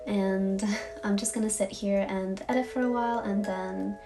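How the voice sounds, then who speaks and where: A woman's voice: mid-range voice, fairly even intonation, moderate pace. A young woman in a casual diary vlog, talking in a tired, chatty, self-deprecating way. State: hoarse, tired voice. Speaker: vlogger. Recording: distant mic with loud music behind.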